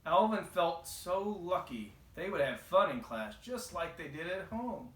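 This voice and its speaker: A man's voice: high voice, big pitch swings, unhurried, a bit bright. A man reading a children's storybook aloud as the narrator. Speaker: storybook reader. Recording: echoey, roomy home recording.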